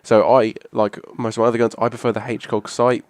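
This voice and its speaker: A hoarse young man, rambling casually through a video game weapon review. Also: medium pitch, flat delivery, fairly quick, darkish tone, no echo. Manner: rambling, restarts a lot, casual swearing. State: hoarse from a cough. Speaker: gaming channel host. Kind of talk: casual gameplay commentary.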